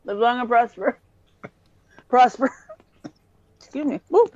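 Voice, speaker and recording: A woman's voice: a little high, measured pace, darkish tone, dry recording. A woman co-hosting a casual podcast, mostly giving short, easygoing replies. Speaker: podcast co-host. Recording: muffled, lo-fi, dry.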